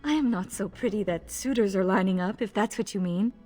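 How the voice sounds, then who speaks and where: A modest, deferential elven servant woman from a fantasy game, acted by a woman. Her voice is breathy and soft at an ordinary female pitch, with music playing behind it. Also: brisk, lightly acted. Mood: guarded, wistful. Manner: polite, deferential, soft-spoken. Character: modest, gentle elven lady-in-waiting, a young mother. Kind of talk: video game voice acting.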